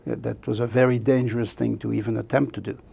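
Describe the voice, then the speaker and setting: An older man whose native language is French, a guest author speaking reflectively in an interview with many pauses and fillers. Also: mid-range voice, flat delivery, creaky, dark tone, husky.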